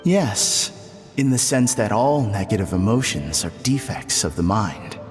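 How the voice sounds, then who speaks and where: A calm, all-knowing counselor in a reverberant hall. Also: male voice, fairly low pitch. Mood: soothing. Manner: measured, soothing, self-assured. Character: calm male counselor who erases troubles, smooth and all-knowing. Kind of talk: game voice acting. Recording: long reverb on the voice. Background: clear game music.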